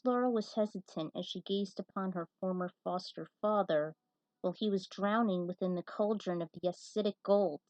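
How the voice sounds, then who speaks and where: A woman's voice: mid-range voice, no room sound. A woman casually commenting on and reading out her own fan fiction, opinionated and blunt, with some swearing. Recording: dull, muffled lo-fi recording.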